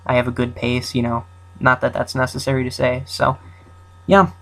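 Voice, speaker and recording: A young man hosting his own channel, talking off the cuff in a halting, rambling way with many fillers and restarts. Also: medium pitch, monotone, a bit bright, poor audio. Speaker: channel host. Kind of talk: casual unscripted countdown commentary.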